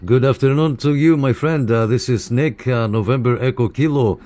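A man's voice: mid-range voice, moderate pace, background noise. A man from Canada hosting a CB radio channel, calling and answering contacts in radio procedure. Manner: repeats call signs and "roger, roger" in radio procedure. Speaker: CB radio operator and channel host. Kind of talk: casual radio contact chat. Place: room on a third floor with a window antenna.

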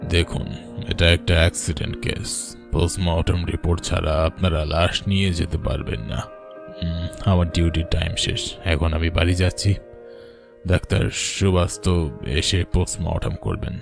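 A man's voice: deep voice, flat delivery, moderate pace, creaky, over music. A man voicing a hospital doctor in a cartoon, firm, formal and matter-of-fact. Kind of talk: cartoon voice acting.

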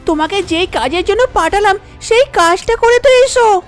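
A woman's voice: extremely high pitch, lively intonation, normal speed. A woman voicing a shy, fretful young bride in a cartoon, fussing at her husband. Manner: fussing, complaining. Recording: music bed under the voice.

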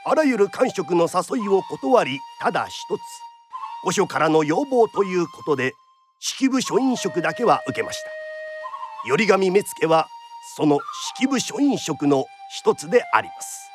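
An actor narrating a period radio drama as a theatrical kodan storyteller, formal and declamatory. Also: male voice, high voice, big pitch swings, a little slow, soft background music. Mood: dramatic narration. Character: lively traditional storyteller narrating a period tale.

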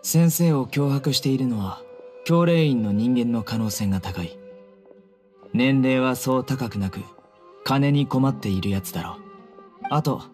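A man playing a stern, calm young investigator in a game, speaking in a measured, commanding way. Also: medium pitch, soft background music, natural voice. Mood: calm, authoritative. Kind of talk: Japanese anime game voice acting.

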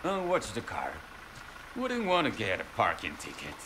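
An actor playing an impatient, wisecracking local police driver in a video game. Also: male voice, on the low side, wildly swooping pitch, normal speed. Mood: mocking, impatient. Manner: mocking, wisecracking. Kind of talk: video game cutscene acting. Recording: clear engine and vehicle noise behind.

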